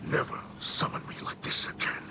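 A man voicing a stern, solemn alien leader in a video game, gravelly and breathy, with grave, formal speech. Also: normal speed, in a whisper, natural voice. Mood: menacing. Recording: game ambience over the voice.

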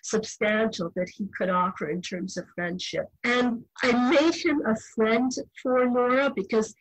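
An older woman novelist answering interview questions in a thoughtful, measured way. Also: a little high, slight background noise, low-quality recording. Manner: thoughtful, measured, occasional restarts. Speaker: novelist guest.

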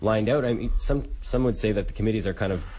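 A man's voice: fairly low pitch, fast talker, darkish tone. A young man co-hosting a radio show, asking rambling, informal interview questions. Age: young adult. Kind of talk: casual interview. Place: radio studio.

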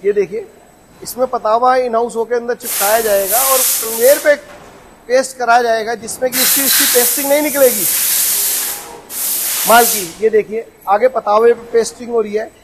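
High-voiced eager salesman in noisy factory. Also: steady tone, moderate pace. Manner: eager salesman, keeps inviting and promising quality. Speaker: footwear factory owner giving a tour. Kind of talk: sales pitch factory tour. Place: footwear factory floor, indoors. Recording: noisy factory machinery behind.

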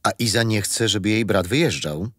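An actor playing a kindly grandfather who tells his grandchildren bedtime stories gently and expressively in a children's radio play. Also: on the low side, fairly quick, dry recording, studio quality. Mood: tender.

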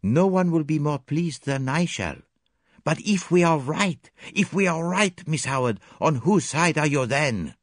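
A man voicing a calm, shrewd male detective in a storybook reading, formal, measured and gently insistent. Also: on the high side, no echo, studio quality, pitched above the performer's own voice. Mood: quietly confident. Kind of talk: audiobook character dialogue.

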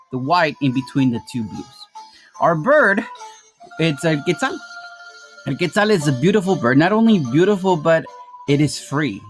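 A Guatemala-born man who teaches math, giving a casual slide presentation to his students and explaining things in a friendly, plain way. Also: on the high side. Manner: friendly, addresses students as "you guys", explains slowly. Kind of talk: informal classroom presentation over slides. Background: marimba music playing softly.

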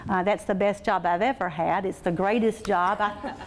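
A woman, a school administrator giving an energetic, folksy training talk to teachers in a large meeting room on a lapel mic. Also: medium pitch, moderate pace, a little hiss or hum. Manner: energetic, folksy, animated gestures. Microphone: lapel mics.

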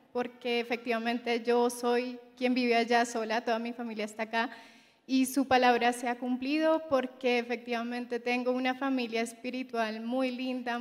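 A woman with a Bogotá Colombian accent gives testimony to a congregation as a visiting member. Her fairly high voice keeps to a very even pitch, with long hall reverb around it. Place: large church hall. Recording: echoing large hall.

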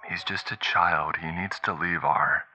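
A young man hides his fear behind scoffing, but his voice quivers, as a male narrator plays him. Hushed and low, over faint music. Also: steady tone, unhurried, muffled recording, full character voice. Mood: scared. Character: scared young man trying to sound brave.

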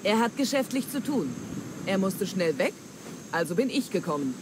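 An actress playing a wily, flattering pirate treasure hunter in a dramatic German game dub, with theatrical, coaxing delivery. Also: female voice, mid-range voice, normal speed, lightly acted. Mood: evasive. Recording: clear ambient noise.

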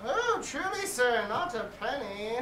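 A high-pitched, very animated old nurse played by a woman in a Shakespeare play, fussy and bawdy. She rambles and exclaims with theatrical groans, heard in an echoey room from a distance. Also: measured pace. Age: older. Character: bawdy, fussy old nurse, weary and teasing, fond of her charge. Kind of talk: Shakespearean stage acting. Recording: echoey room, distant mic, faint noise.

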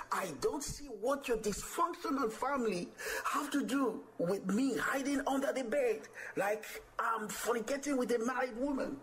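An actor playing an indignant, defensive lover in a radio drama, with a Nigerian accent and an insistent, repetitive way of talking. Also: male voice, very high-pitched, fairly bright tone, poor audio. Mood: fed up. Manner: repeats phrases insistently.

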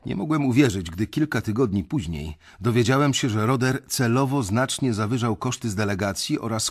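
A man's voice: mid-range voice, lightly acted. An actor playing a resentful young investment banker who tells his story bitterly in a radio drama.

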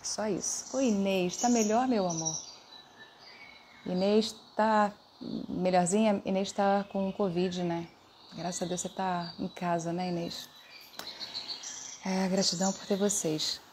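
A woman's voice: medium pitch, fairly even intonation, unhurried, pauses often, background noise. A middle-aged woman hosting a casual livestream from her living room, effusive and affectionate, greeting viewers by name. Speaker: host, voice teacher.

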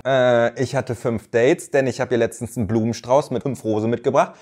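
A man in his thirties hosting a reaction video, commenting in a mocking, animated way from a neon-lit room. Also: a little fast, soft background music. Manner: animated, mocking, jumps in pitch for jokes.

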